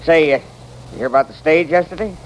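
An actor playing a plain-spoken, easily amused saloon keeper with folksy western talk in an old radio drama. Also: male voice, fairly high pitch, fast, dull audio, natural voice. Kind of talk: 1940s-50s radio western acting. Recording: noisy old radio recording.

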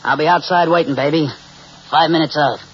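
A man's voice: fairly high pitch, steady tone, a little fast, a little hiss or hum, close to an everyday voice. Man acting a slangy radio wolf, muffled recording. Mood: flirty, boastful. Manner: slangy, smooth-talking, forward. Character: flirty, boastful married middle-aged man on the make.

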